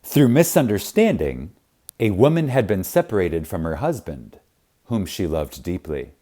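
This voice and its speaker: A man narrating a spiritual audiobook in a reverent, emphatic manner, with deliberate pauses. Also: deep voice, big pitch swings, warm tone. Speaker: audiobook reader. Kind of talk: scripted narration of a spiritual self-help audiobook.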